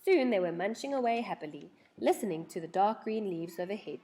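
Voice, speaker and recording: A woman narrates a children's book with a very lively, swooping delivery. Her voice sits at an everyday female pitch, and she tells the tale with dramatic expression. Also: brisk. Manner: animated, dramatic storytelling. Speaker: storyteller reading a children's book aloud.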